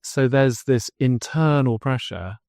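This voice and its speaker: An English man, guest on a podcast interview, telling his story as a drinks brand founder in an earnest pitch. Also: takes it slowly, darkish tone, dry recording, studio quality. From England.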